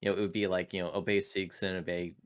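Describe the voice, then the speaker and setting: This is a man with a deep, low-set voice. He talks casually as a player at a tabletop game. His explanations come haltingly, like unscripted chat. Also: level tone, clear, resonant tone.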